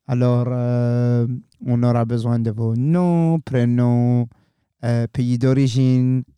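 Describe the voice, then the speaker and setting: A man's voice: medium pitch, little pitch movement, takes it slowly, mellow, smooth, no echo. A man hosting a radio podcast, interviewing casually and informally, with English interjections dropped into his French.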